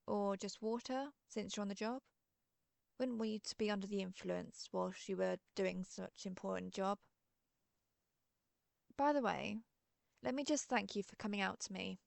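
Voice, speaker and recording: A woman's voice: mid-range voice, vocal fry, no echo. A woman voicing a friendly, theatrical century-old vampire in an audio roleplay, chatty and playfully grand. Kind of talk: scripted audio roleplay monologue.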